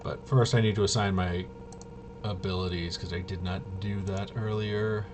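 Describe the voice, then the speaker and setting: A man hosting a tabletop game walkthrough, thinking aloud in a casual, halting way and muttering to himself. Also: low voice, fairly even intonation. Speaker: host of a tabletop RPG video. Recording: noisy room, clearly audible background noise.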